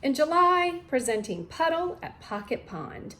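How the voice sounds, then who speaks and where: A woman's voice: high voice, sing-song, a little slow, fairly bright tone, reverberant. A woman speaking informally as an elementary school teacher, chatting and reading a storybook aloud to children.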